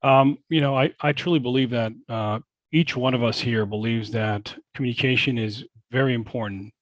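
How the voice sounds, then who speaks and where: A man's voice: mid-range voice, fairly even intonation, moderate pace, no echo, muffled recording. A man answering as a school board candidate in a panel Q&A, earnest and full of fillers and repeated phrases.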